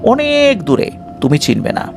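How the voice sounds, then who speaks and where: A man voicing a gentle, pious female fairy spirit from under the sea in a horror story, coaxing and reassuring. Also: high voice, huge pitch swings, a little slow, vocal fry, background noise, heavily acted. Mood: calm, mysterious.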